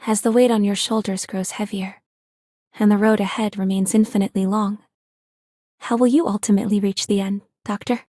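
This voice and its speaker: An actress playing a seasoned traveler in a video game, calm, grave and pensive. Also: female voice, mid-range voice, fairly quick, no echo, lightly acted. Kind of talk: video game voice line acting.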